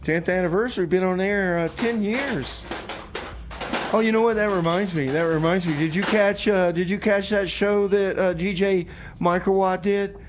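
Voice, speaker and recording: A man acting as a community radio host with a somewhat high-pitched voice. He banters loosely, needling and repeating phrases as he goes. The recording has clear background noise and clatter. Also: steady tone, moderate pace, natural voice. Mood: teasing. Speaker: radio music show host and DJ. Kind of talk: comedy radio theater banter between songs.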